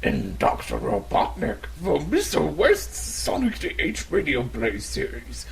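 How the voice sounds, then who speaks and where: A man voicing a menacing game villain in a growling, theatrical, exaggerated and slow manner. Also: a little high, wildly swooping pitch, full character voice. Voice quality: growling, gravelly.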